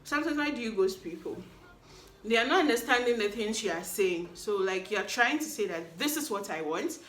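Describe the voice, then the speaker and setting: A woman's voice: mid-range voice, lively intonation, fairly quick, a bit bright, a little hiss or hum. A woman, hosting a solo podcast recap in a playful, chatty way that teases the listener. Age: 20s. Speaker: podcast host. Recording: echoey room.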